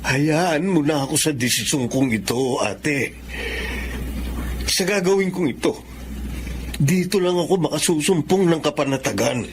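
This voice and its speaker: A man's voice: on the high side, animated, unhurried, long pauses, noisy background. A man acting a weary, evasive middle-aged widowed father in a Filipino radio drama, with a burdened delivery. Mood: resolved.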